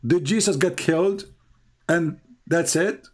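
A man whose first language is Arabic, hosting and debating a caller, emphatic and repeating phrases for stress. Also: unhurried. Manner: emphatic, rises high when pressing questions. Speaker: host.

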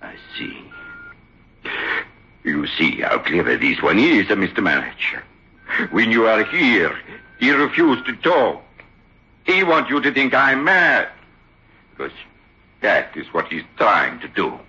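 A man's voice: lowish voice, wildly swooping pitch, slow, pauses often, bright, forceful tone, full character voice. A man playing a tormented, paranoid stage ventriloquist in an old radio drama, agitated, in emphatic broken English. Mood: frantic, accusing. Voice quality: gravelly, very hoarse. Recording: dull lo-fi old radio recording.

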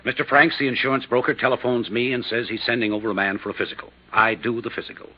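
An actor playing an irritated, defensive physician in a 1950s radio drama, speaking in a testy, emphatic way. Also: male voice, mid-range voice, steady tone, fast talker, natural voice. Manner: testy, emphatic, put-upon. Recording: noisy, muffled old radio recording.

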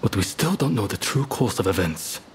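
A man's voice: on the low side, very animated, slight background noise. A man playing a composed, courteous samurai statesman who speaks formally in a video game cutscene. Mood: earnest, grave. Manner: formal, deliberate.

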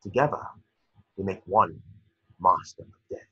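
A man playing an eccentric, absent-minded older wizard in a scripted table read, with a husky voice on a dull video call. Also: low voice, moderate pace, bright, forceful tone, exaggerated voice. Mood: grave, distracted. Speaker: narrator and actor in table read. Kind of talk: scripted stage-direction narration and character reading.

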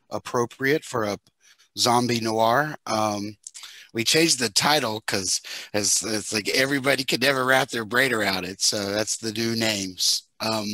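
A man's voice: mid-range voice, sharp tone, no room sound. An American man in his forties talking casually as a guest on a panel, speaking into a headset. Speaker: writer-producer, guest panelist. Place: home room, indoors, video call. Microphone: headset with boom mic.

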